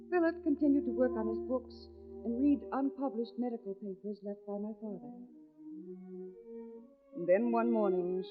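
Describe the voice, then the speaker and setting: A woman's voice: on the high side, close to an everyday voice. Woman acting anxious wife in tinny vintage radio suspense. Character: anxious, devoted young wife narrating a suspense tale. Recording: vintage radio, phone-like band, music behind.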